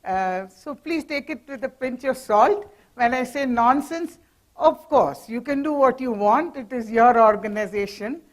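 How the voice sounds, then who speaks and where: A middle-aged woman from Mumbai with an Indian English accent, giving a lively corporate talk as a professor to an audience in a hall, with teasing asides and jokes. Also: on the high side, a little slow. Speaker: guest speaker, professor. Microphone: handheld or stand mic.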